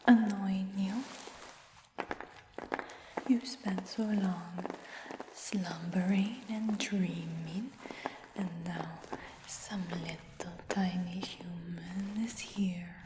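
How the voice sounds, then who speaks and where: A woman whispers in a soft, coaxing tone, lingering over repeated words for ASMR. She speaks extremely slowly, each phrase drawn out. Her voice hangs in a roomy space with a long, trailing reverb. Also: lowish voice, fairly even intonation, background noise. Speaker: ASMR roleplayer. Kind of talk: whispered ASMR fantasy roleplay.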